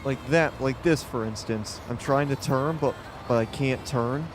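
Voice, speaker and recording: A man with a loud voice giving rambling, casual commentary while streaming a game live, often restarting his sentences and talking to the game. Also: mid-range voice, unhurried, over music. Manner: rambling, self-correcting, talks to the game.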